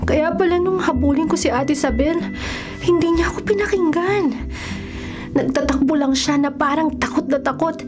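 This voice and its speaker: A woman playing a troubled young woman, a big sister with a secret, in an expressive radio horror drama style. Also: high-pitched, moderate pace, over music, lightly acted. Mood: anguished. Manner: melodramatic, expressive. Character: troubled young woman, affectionate older sister hiding dread.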